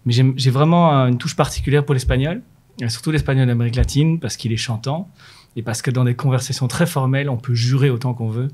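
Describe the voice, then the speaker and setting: Measured middle-aged man explaining business. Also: mid-range voice, fairly even intonation, darkish tone. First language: French. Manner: measured, explanatory, frequent fillers and restarts. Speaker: renewables manager at an energy company. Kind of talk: corporate interview answers.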